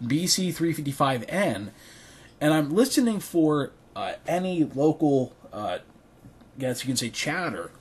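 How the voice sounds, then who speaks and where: A man giving a casual hands-on tutorial as a video creator, thinking aloud haltingly in a small bedroom. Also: a little high, husky. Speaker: video creator demonstrating radio gear.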